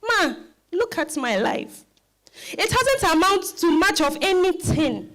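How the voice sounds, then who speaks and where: A woman's voice: very high voice, sing-song, slow, a bit bright, heavily acted. A woman playing a middle-aged mother in a dramatized reading, protesting plaintively and with self-pity. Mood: pleading, aggrieved.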